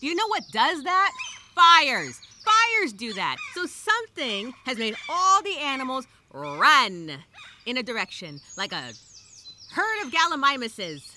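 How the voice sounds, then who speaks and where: Woman acting a high, animated, frantic castaway. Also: full character voice. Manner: theatrical, emphatic, rambling. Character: frightened stranded castaway woman, frantic and exasperated, journaling. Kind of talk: dramatic first-person journal monologue. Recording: clear background noise with birdsong.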